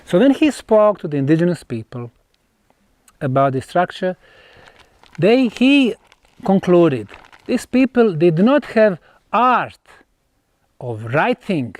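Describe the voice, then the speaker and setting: A man giving an unscripted explanatory lecture as a researcher, speaking emphatically like a storyteller and pausing between short phrases. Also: high-pitched, very animated, no room sound. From Bosnia. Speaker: researcher presenting an archaeological site.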